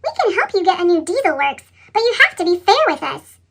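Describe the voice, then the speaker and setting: A woman's voice: extremely high pitch, big pitch swings, fairly quick, fairly bright tone, exaggerated voice. A woman voicing a fair-minded young male tank engine in a storybook, with a sped-up chipmunk effect. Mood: earnest, firm. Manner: peeping, earnest.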